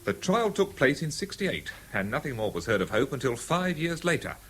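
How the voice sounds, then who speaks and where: An actor playing a keen, respectful junior policeman in a radio drama, brisk and factual. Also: medium pitch, sing-song, a bit bright, husky, low-quality recording. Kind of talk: 1970s British radio drama acting.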